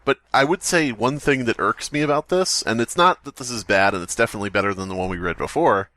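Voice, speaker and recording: A man's voice: mid-range voice, fast talker, bright, forceful tone, no room sound. A man co-hosting a podcast, critiquing a story in casual chat, rambling and swearing now and then. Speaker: podcast co-host.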